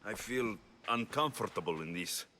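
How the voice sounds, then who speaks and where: Wary, bright-voiced blunt mercenary. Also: male voice, fairly low pitch, animated, moderate pace, soft background music. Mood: uneasy. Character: wary, blunt young male Greek mercenary. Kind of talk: video game dialogue acting.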